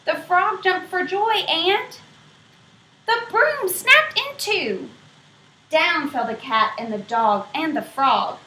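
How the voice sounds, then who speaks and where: A woman reading a picture book aloud to children in an expressive way, stopping to ask them questions. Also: very high-pitched. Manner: expressive, pausing to quiz young listeners. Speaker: storybook reader. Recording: roomy echo.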